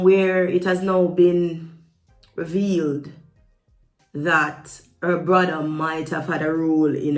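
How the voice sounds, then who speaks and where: A woman with a Jamaican accent hosting a vlog, giving casual opinion talk to viewers and repeating words for emphasis. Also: on the low side. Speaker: vlog host. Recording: roomy echo with music behind.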